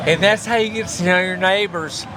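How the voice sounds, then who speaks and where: A man's voice: high-pitched, normal speed, crowd noise. A man who is a guest on a podcast, chatting in a playful, joking way outdoors. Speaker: guest, local politician. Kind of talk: casual chat. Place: outdoors on a busy street. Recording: street noise behind.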